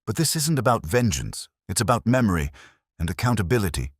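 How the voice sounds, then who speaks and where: A man's voice: lowish voice, very animated, no room sound, clean studio sound, voice pushed up, exaggerated voice. A man voicing a composed, precise accusing woman. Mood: calm resolve. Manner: smooth, measured, untheatrical. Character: composed, precise woman confronting wrongdoers with restraint.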